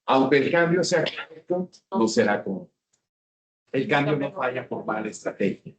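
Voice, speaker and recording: A man's voice: medium pitch, animated, moderate pace, muffled recording. A man with a Mexican accent giving a business seminar talk and often asking the audience questions. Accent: Mexican Spanish. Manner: engaging, asks the audience questions. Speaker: speaker.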